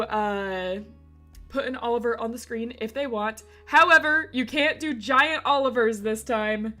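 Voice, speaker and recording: A woman streamer with a slightly hoarse voice, chatting casually and giggling as she talks to her chat. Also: a little high, bright, forceful tone.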